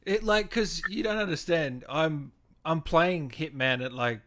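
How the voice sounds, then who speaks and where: A man's voice: fairly high pitch, measured pace, fairly bright tone. A man in his twenties chatting casually as the host of a gaming show. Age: 20s. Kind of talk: casual livestream chat.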